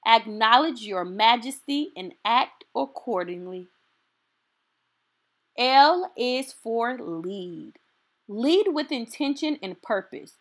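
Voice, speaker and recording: Female storytime reader, fairly high voice, very animated intonation, unhurried and encouraging, for a children's picture book. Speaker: storytime host reading aloud.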